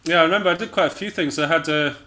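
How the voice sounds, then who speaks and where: A man's voice: on the high side, very fast, bright, forceful tone. A man from Scotland chatting casually as an interview guest, in a hesitant, understated and self-deprecating way. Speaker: interview guest, jazz pianist and musician.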